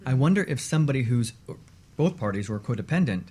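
Husky-voiced man explaining as guest expert. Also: mid-range voice, animated, fast, a little mellow. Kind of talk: conversational radio interview.

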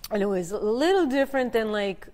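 A woman's voice: fairly high pitch, lively intonation, a little fast, a little mellow. Woman candidly telling a story. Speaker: model, guest. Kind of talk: casual interview storytelling.

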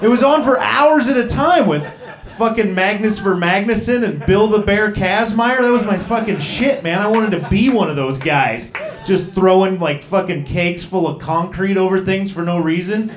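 A man's voice: high voice, normal speed, roomy sound, faint music behind. A man in his thirties doing a stand-up comedy set, rambling and profane, poking fun at himself. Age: 30s. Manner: profane, rambling, self-deprecating. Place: comedy club stage.

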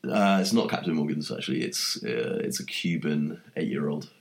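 A man hosting a casual podcast chat, sounding nasal from a cold and bantering in a relaxed, joking way. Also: extremely low pitch, moderate pace, gravelly. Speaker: podcast host.

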